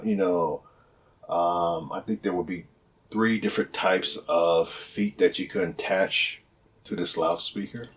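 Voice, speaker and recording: Man, deep low voice, casual product presenter, conversational, unscripted delivery, tends to repeat himself. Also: a little mellow. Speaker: product presenter for a loudspeaker maker.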